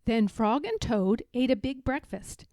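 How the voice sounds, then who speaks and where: A woman's voice: fairly high pitch, animated, unhurried, darkish tone, dry recording, clean studio sound. A woman reading a children's storybook aloud as the storyteller.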